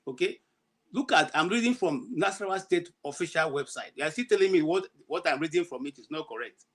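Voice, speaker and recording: A man's voice: fairly high pitch, animated, fast talker. A middle-aged Nigerian man with an Igbo accent, arguing insistently on a panel and slipping into pidgin. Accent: Nigerian Igbo English. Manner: insistent, argumentative, mixes in pidgin. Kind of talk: heated panel discussion.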